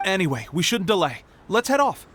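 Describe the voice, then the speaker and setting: An actor playing an earnest, humble young resistance soldier in a video game, speaking politely and eagerly. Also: male voice, sits high, very animated, a little fast, a bit bright, a little hiss or hum. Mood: amazed, hopeful. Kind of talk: scripted video game dialogue. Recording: music playing behind the voice. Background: game music.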